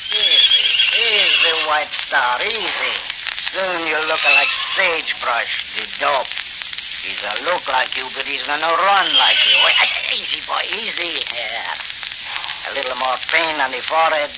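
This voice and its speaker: An actor playing a proud, excitable Italian crook in broken English, in a scratchy, muffled 1940s radio crime drama. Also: male voice, fairly high pitch, unhurried. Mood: coaxing. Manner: broken English, crooning and exclaiming. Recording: scratchy, muffled 1940 radio broadcast recording.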